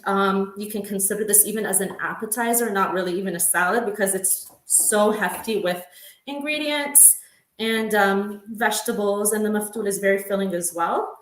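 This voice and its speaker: A woman's voice: medium pitch, moderate pace. Casual woman cook demoing a recipe, roomy kitchen sound. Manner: chatty, with frequent ums and laughs. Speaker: home cook demonstrating a recipe. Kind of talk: casual cooking demo. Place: home kitchen.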